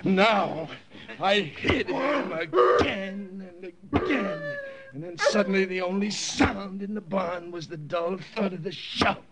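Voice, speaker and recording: A man's voice: high voice, lively intonation, takes it slowly, over a noisy crowd. An actor playing a tough American wartime secret agent who narrates his mission in clipped, hard-boiled radio-drama style. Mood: urgent, dramatic. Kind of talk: 1950s radio drama acting. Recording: old radio broadcast, music underneath.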